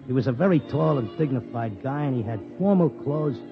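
Wisecracking racetrack clocker, hard-bitten, dull old radio sound with music behind. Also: male voice, medium pitch, animated, a little fast, vocal fry. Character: streetwise male racetrack clocker, cynical, fast-talking. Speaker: narrator and lead character. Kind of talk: 1950s radio drama acting.

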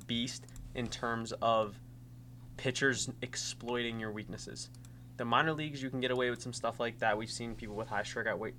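A young man with a bright, forward voice, sounding stuffy from a cold, hosts a solo sports podcast. He talks casually and often restarts his sentences. A faint hum sits under the recording. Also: fairly low pitch. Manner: casual, with frequent restarts and filler. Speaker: podcast host. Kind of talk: solo fantasy baseball podcast.

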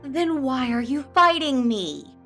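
A woman's voice: high voice, big pitch swings, slow, a bit bright, soft background music, full character voice. A woman playing a stern, wounded Jedi master in a science fiction audio drama, grave and guarded. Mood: accusing.

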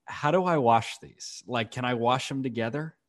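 A man's voice: mid-range voice, moderate pace. A man from Chicago co-hosting a baseball podcast, congested with a cough, chatting in a laid-back way. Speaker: podcast co-host. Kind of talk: casual sports podcast chat.

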